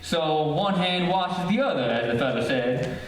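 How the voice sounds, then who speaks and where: A man's voice: on the high side, animated, a little slow. A man acting a folksy, eager real estate salesman with a breezy, persuasive manner in an old-time radio drama. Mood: breezy persuasion. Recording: distant, cavernous echoey stage, faint noise.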